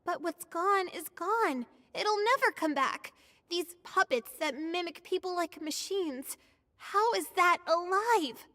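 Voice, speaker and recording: A feisty, shrill young companion. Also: female voice, expressive, takes it slowly, big-room sound, full character voice. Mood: impatient. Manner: sharp and impatient. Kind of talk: game cutscene voice acting.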